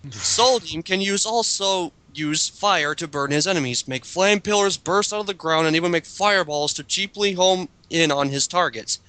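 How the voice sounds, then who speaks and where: A man's voice: sits high, moderate pace, pushed and bright, no echo, rough recording. A man reading aloud to a group in a mocking style, with casual comments in between. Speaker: reader in a group dramatic reading.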